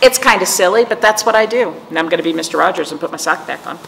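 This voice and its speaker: A woman's voice: lowish voice, fast. A middle-aged woman hosting storytime, chatting and explaining things to young children with frequent questions. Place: indoor library room with decorated wall. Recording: echoey room with clear background noise.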